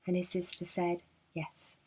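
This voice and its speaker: A woman storyteller narrating a children's picture book expressively, lingering with deliberate emphasis on key words. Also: mid-range voice.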